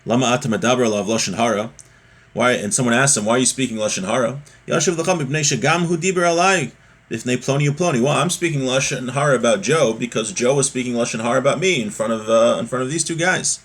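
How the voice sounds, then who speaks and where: A man teaches a religious class in a husky, mid-pitched voice. He moves between reading from a text and explaining it. He often restates a point in new words to drive it home. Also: quick pace, a bit bright. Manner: explanatory, repeats and rephrases for emphasis.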